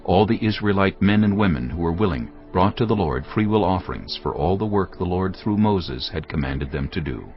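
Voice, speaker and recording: A male narrator reads scripture in a measured, reverent way, with a very deep voice and a lively, varied pitch. Soft music plays beneath his dull, muffled studio sound. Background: soft background music.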